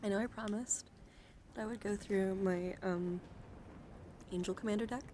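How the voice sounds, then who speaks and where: A woman livestreaming ASMR in a soothing, gently encouraging way, soft-spoken and often slipping into whispers, close to the microphone. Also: medium pitch, steady tone, normal speed. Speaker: livestreamer hosting an ASMR card-showing stream. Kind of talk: ASMR, casual livestream chat. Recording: clearly audible background noise.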